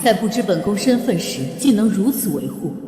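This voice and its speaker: A woman's voice: medium pitch, big pitch swings, brisk, noisy background, close to an everyday voice. A woman voicing an imperious queen mother of the gods in a costume drama, commanding and scornful. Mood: approving. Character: imperious divine queen mother, haughty and wrathful. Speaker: divine empress mother.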